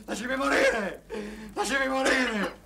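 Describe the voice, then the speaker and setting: A man's voice: sits high, very animated, moderate pace, heavy background noise. An actor playing a melodramatic, heartbroken drunk man who stammers, whines and repeats himself in an Italian comedy film. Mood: wailing despair. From Milan, Italy.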